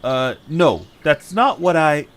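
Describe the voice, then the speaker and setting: A man's voice: a little high, sing-song, takes it slowly, noisy background. A man playing a sarcastic, weary young male adventurer in an audio drama, with a dry manner. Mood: hesitant.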